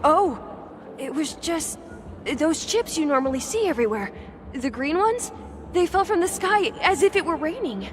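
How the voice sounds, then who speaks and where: A woman's voice: sits high, very animated, moderate pace, noisy background. A woman voicing an earnest, easily amazed young bystander in a video game, telling things in a hesitant, wide-eyed way. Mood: startled. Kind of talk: scripted video game voice acting.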